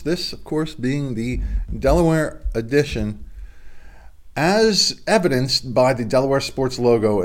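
A middle-aged man hosting a casual solo sports report from his home studio, his voice congested from a cold, chatty and rambling with self-deprecating asides. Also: mid-range voice. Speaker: local sports podcast host. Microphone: video stream webcam setup.

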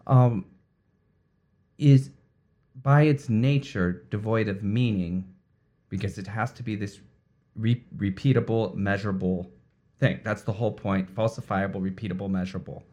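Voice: low-pitched, fairly even intonation, normal speed, dark tone. An interviewer who asks questions in a curious way and thinks aloud, often restarting words midway. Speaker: interviewer, host. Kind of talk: conversational interview.

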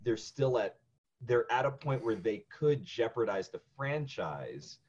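A man's voice: mid-range voice, sing-song, a little slow, a little mellow, husky, highs cut off. A male voice actor co-hosting a podcast, chatting casually and often repeating words as he starts a thought.

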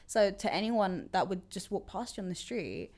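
A woman's voice: mid-range voice, expressive, normal speed. A woman hosting a casual interview chat, encouraging and supportive toward her guest. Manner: warm, encouraging. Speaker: host.

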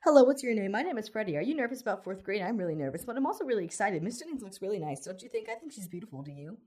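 A narrator doing a high, chattering little schoolboy. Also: sing-song, takes it slowly, sharp tone, heavily acted. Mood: eager, nervous. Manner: rambling, eager questions. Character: chatty, excitable, nervous nine-year-old schoolboy.

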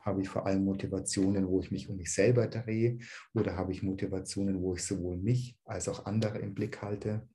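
A man teaching dharma to an online group, calm and searching, with a slight cold. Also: sits low, a little fast, a little hiss or hum. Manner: calm, searching, with many "ähm" restarts.

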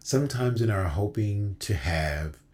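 A man's voice: lowish voice, lively intonation, measured pace, a little mellow. A man reciting spoken-word poetry like a preacher, with a solemn, exhortative, rhythmic delivery and emphatic repetition.

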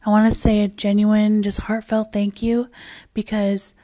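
A woman hosting her own podcast in a casual, rambling solo chat, her voice raspy and hoarse as she loses it, with plenty of fillers and restarts. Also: medium pitch, monotone, measured pace, warm tone, no room sound. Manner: swears casually. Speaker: podcast host.

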